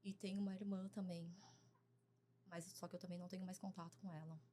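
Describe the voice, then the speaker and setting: A woman in her forties from Brazil, talking as a guest in a casual interview, soft-spoken and hesitant with frequent pauses and fillers. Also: fairly low pitch, level tone, slight background noise. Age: 40s.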